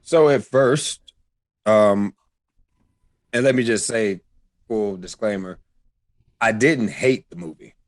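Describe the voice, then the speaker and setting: A man co-hosting a podcast in casual discussion, explaining his views carefully and deliberately. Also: lowish voice, no echo. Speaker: podcast co-host.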